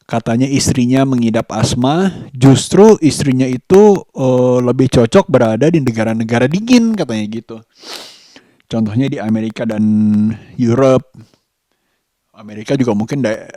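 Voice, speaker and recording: A man from Indonesia talks casually to his vlog, thinking aloud in a reflective, halting way as he searches for words. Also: mid-range voice, dark tone, no echo, clean studio sound. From Sukabumi, West Java, Indonesia. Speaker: vlogger, host of his own daily vlog.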